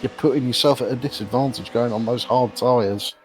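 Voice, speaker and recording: A man giving casual, analytical live commentary on a sim race, explaining things in a measured way. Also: mid-range voice, darkish tone, low-quality recording. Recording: faint noise behind voice.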